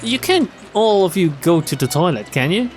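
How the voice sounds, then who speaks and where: Male game streamer, lively and expressive, lighter pitch, narrating and commenting casually. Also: moderate pace, soft background music. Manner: expressive reactions, casual swearing. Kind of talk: let's-play reading of a visual novel with casual commentary.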